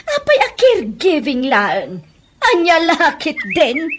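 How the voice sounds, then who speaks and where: A woman's voice: high-pitched, wildly swooping pitch, background noise, heavily acted. A woman voicing a scornful, gossipy middle-aged mother in a comedy radio drama, with an Ilocano Filipino accent. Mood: mocking. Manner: sneering, drawn-out, exclamatory.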